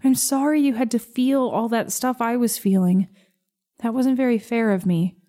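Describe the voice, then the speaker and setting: A woman voicing an earnest, protective schoolgirl in a story, speaking in a gentle, pleading way. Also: a little high, moderate pace, lightly acted. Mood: apologetic. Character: earnest, protective schoolgirl consoling her wax dragon.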